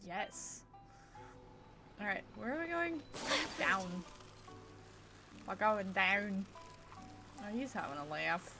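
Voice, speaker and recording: Female game streamer: playful, casual reactions, bright-spirited and highly animated, clear music underneath. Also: slow, pauses often. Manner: playful, giggly. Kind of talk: casual gameplay commentary with reactions. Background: video game music.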